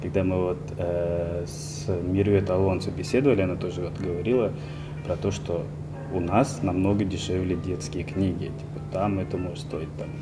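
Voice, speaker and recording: A man's voice: low voice, fairly even intonation, normal speed. A man hosting a casual interview, easygoing, chipping in short remarks. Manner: easygoing, laughs along, chips in short remarks. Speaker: interview host, bookseller. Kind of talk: casual conversation. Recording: music playing behind.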